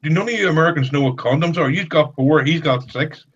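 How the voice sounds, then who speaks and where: A man's voice: on the high side, fast, slightly rough, muffled recording. A man co-hosting a casual stream, teasing the others in a wry, dry way. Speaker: stream co-host. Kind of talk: casual banter.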